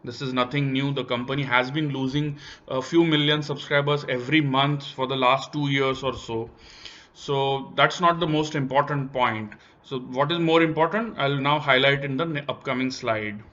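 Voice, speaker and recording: A man's voice: mid-range voice, steady tone, normal speed, bright, forceful tone. A man with an Indian accent presenting company analysis over slides, explaining step by step and stressing key points. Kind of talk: semi-scripted slide commentary. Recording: dull, lo-fi voice recording.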